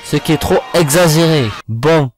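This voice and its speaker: A man's voice: a little high, moderate pace. A man reviewing in a voice-over, giving informal critical commentary in a blunt, slangy, complaining manner. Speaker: video reviewer. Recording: voice-over with music behind.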